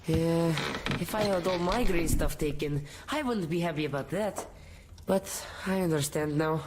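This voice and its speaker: A woman's voice: fairly low pitch, moderate pace, background noise, close to an everyday voice. A woman voicing an earnest, headstrong young boy in a video game, with a frank, childlike way of talking. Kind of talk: cinematic game dialogue acting.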